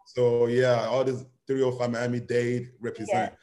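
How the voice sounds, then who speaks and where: A young man chats as a guest, wandering through his thoughts with constant fillers. His voice sits at an everyday middle pitch for a man. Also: little pitch movement, normal speed, dark tone. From Nigeria. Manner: rambling, many "you know" fillers. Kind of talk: casual interview chat.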